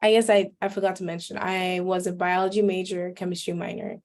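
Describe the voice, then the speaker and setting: A woman in her twenties from Nigeria, a medical student hosting an online panel session in a friendly, unhurried way with some fillers. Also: on the low side, flat delivery, a little mellow.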